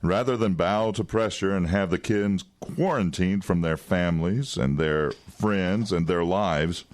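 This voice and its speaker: Deep-voiced, wry, smooth government agent. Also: male voice, unhurried. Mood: guarded, persuasive. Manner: insinuating, condescending, with chuckles and hesitations. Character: smooth, wry, quietly menacing male government intelligence agent. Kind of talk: audio drama acting.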